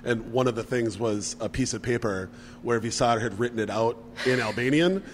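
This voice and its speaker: Male guest, relaxed rambling storyteller, lots of fillers and laughter, reverberant recording. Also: medium pitch, normal speed, slight background noise. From Minneapolis area. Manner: rambling, laughs often, many fillers and restarts. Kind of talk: casual chat.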